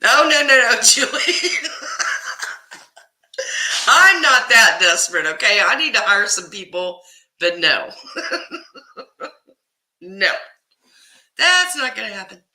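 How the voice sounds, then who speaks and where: A woman's voice: on the high side, very animated, slow, pauses often, bright, forceful tone, echoey room. A woman from Kansas with a Kansas accent, hosting a casual live stream, reading viewers' comments and answering them by name, often laughing. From Kansas, US Midwest. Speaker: livestream host reading chat.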